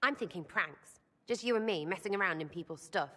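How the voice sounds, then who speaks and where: A woman's voice: on the low side, lively intonation, a little fast, pushed and bright, big-room sound. A woman playing a mischievous, blunt young elven rebel in a video game, with an English accent and a cheeky, slangy, irreverent way of talking. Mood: playful.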